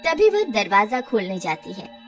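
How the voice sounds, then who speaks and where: A woman's voice: mid-range voice, big pitch swings, a little slow, music in the background. A woman narrating a scripted horror story as a storyteller.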